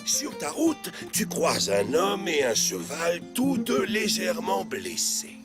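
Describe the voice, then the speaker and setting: A man voicing a kindly, patient village storyteller in a French children's cartoon, with an expressive, measured delivery. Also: high-pitched, heavily acted. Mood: storytelling. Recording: music behind the voice.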